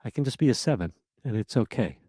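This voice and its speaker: A man's voice: mid-range voice, expressive, fast talker, mellow, slightly rough, dry recording, clean studio sound. A man guesting on a podcast, talking casually and animatedly, laughing often, with a powerful voice that projects. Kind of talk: casual interview conversation.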